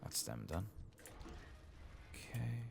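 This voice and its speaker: A man giving soft-spoken, sometimes whispered ASMR commentary on a game, muttering casually with mild swearing over audible background noise. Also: fairly low pitch, big pitch swings, slow, vocal fry. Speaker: game streamer, ASMR playthrough host.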